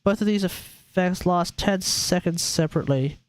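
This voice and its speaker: A man's voice: high-pitched, normal speed. A man who streams games, giving casual, slangy commentary and bluntly thinking aloud as he reviews. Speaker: game streamer.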